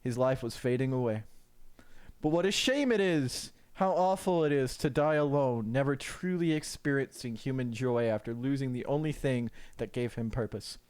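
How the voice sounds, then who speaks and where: A man's voice: medium pitch, normal speed, darkish tone, clean tone. A man reading a scripted story aloud as narrator, in a theatrical, mock-solemn dramatic style.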